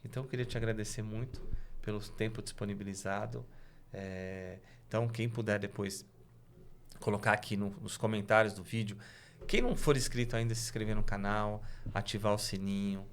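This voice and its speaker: A man hosting a casual interview show, friendly, often tagging his sentences with quick questions. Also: lowish voice, fairly even intonation, slight background noise. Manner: friendly, with repeated tag questions. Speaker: host.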